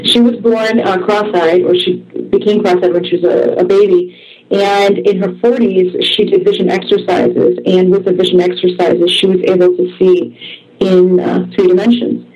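A woman's voice: fairly low pitch, little pitch movement, moderate pace, dull audio, crowd noise. A woman, a Russian speaker raised in the US, answering interview questions in an eager, chatty way. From former Soviet Union, raised in the US. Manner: eager, chatty storytelling with fillers. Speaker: interview guest, author.

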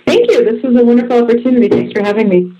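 A woman's voice: a little high, expressive, rapid-fire, highs cut off, a crowd in the background. A woman, a Russian speaker raised in the US, answering interview questions in an eager, chatty way. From former Soviet Union, raised in the US. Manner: eager, chatty storytelling with fillers. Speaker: interview guest, author.

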